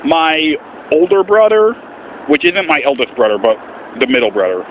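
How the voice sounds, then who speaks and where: A man talking casually and earnestly in a vlog as an amateur voice actor, with an animated, swooping delivery. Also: on the high side, normal speed. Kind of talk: casual vlog explanation with voice demonstrations. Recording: muffled, faint background noise.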